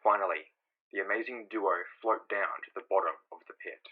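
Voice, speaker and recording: A man's voice: on the low side, brisk, a little hiss or hum. A man narrating a comic book in a scripted, portentous, theatrical style. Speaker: narrator and voice actor. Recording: dull, lo-fi sound.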